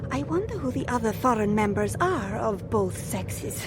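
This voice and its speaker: An actress playing a composed, practical, sharp-witted woman in a radio drama, with a matter-of-fact, wry delivery. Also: on the high side, very animated, normal speed, over music. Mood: uneasy, wry.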